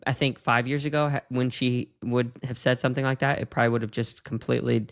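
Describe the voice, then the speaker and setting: A man's voice: mid-range voice, flat delivery, quick pace. A man in his thirties hosting a podcast, musing openly and frankly about his own mistakes. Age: 30s. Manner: open, confessional musing. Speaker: podcast host. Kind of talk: podcast interview.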